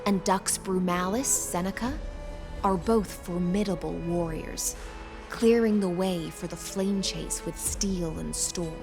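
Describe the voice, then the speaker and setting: An actress playing a composed, proud knight commander in a game, speaking in a formal, measured, courtly way. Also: female voice, fairly low pitch, vocal fry, over music. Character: composed proud female knight commander, loyal imperial servant. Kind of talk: scripted game dialogue.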